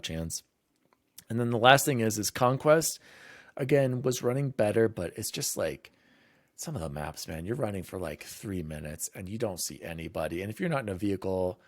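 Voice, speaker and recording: A man's voice: lowish voice, a little mellow, no echo, clean studio sound. A man hosting a casual gaming podcast, talking in an informal, enthusiastic, rambling way. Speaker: podcast host.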